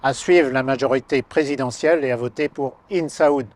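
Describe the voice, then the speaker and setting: A man who has lived in his neighborhood all his life speaks firmly and earnestly in a campaign testimonial. His pitch sits in the middle for a man. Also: moderate pace, no echo. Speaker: local resident.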